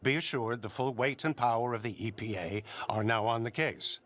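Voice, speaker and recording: An actor playing an officious, condescending government investigator who is hostile and skeptical, in video game dialogue. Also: male voice, medium pitch, normal speed, a bit bright, natural voice. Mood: smug, authoritative.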